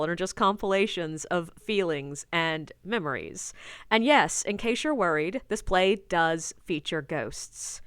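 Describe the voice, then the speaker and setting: A woman's voice: medium pitch, clean tone, dry recording, clean studio sound. A woman speaking as a playwright, introducing her own audio play with a light, reassuring address to listeners.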